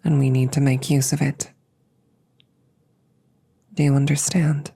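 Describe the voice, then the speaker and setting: A woman voicing a calm, protective female combat android in an intimate audio drama, softly and with measured, formal, soothing phrasing. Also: a bass voice, full character voice. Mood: hushed, urgent calm. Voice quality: soft, breathy, often near a whisper. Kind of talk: intimate roleplay audio drama, sleep aid.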